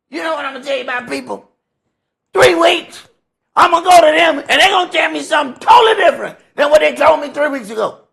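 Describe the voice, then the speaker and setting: A man's voice: high voice, vocal fry, fairly bright tone, slightly rough. A man teaching scripture in an informal sermon, conversational and emphatic, repeating phrases for effect. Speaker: priest teaching a Bible study.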